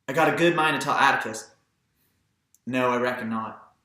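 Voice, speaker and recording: A man's voice: medium pitch, normal speed, pushed and bright, natural voice. Man acting earnest boy in echoey room. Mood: troubled, hesitant. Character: Jem, earnest boy of about twelve, polite, moody, Southern.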